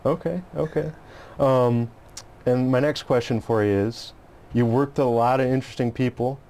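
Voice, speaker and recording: A man's voice: medium pitch, fairly even intonation, normal speed, darkish tone. A man hosting a talk show, asking wordy, rambling questions in a conversational interview style.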